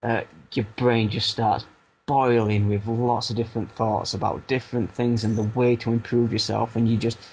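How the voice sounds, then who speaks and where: A man's voice: mid-range voice, flat delivery, moderate pace, darkish tone, slight background noise, poor audio. A man in his thirties with a Barnsley Yorkshire accent, a music producer turned coach, chatting casually in an interview and talking in loose, self-deprecating restarts. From Barnsley, England. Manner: rambling, self-deprecating, frequent restarts and fillers.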